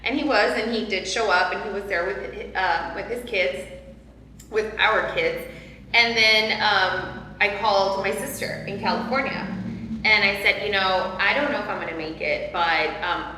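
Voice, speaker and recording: A woman's voice: medium pitch, steady tone, normal speed, pushed and bright, slight background noise. A woman in her forties, a panel guest, earnestly retelling a personal story with many fillers. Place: large hall, seated on a sofa. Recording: echoey hall, light ambience.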